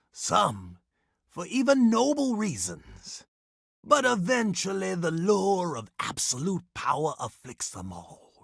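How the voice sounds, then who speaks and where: An eccentric old video game mystic with a high, raspy voice. He preaches theatrically, his pitch leaping extremely from low to shrill, grandiose and scheming. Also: fairly bright tone, no echo, clean studio sound, full character voice. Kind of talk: video game character acting.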